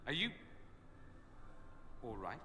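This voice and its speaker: A man's voice: medium pitch, very animated, takes it slowly, sharp tone, slight background noise, full character voice. A man playing a witty, cultured, fussy yet caring mage in a video game, with arch, theatrical delivery. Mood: concerned, earnest. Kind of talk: video game dialogue acting.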